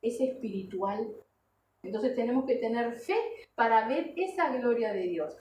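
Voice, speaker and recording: A woman delivers a sermon in a high-pitched voice. She exhorts earnestly, putting questions to her listeners and checking in with short tags. The room is very echoey, and she sounds some way from the microphone. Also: expressive, measured pace, a little mellow. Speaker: preacher. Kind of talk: sermon to a congregation. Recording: echoey room, distant mic, background noise.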